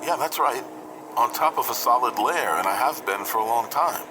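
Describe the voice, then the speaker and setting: An actor playing a lost, inexperienced private pilot, anxious and hesitant, in a radio drama with noise behind him. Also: male voice, medium pitch, animated, moderate pace, lightly acted. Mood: worried. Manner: hesitant, stammering. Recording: noisy radio transmission with engine drone.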